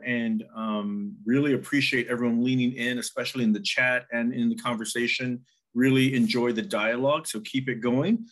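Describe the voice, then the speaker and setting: Guy hosting a video call workshop, upbeat, mid voice, some ums. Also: medium pitch. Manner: upbeat, with fillers between thoughts. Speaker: workshop host. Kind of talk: facilitating a video call session.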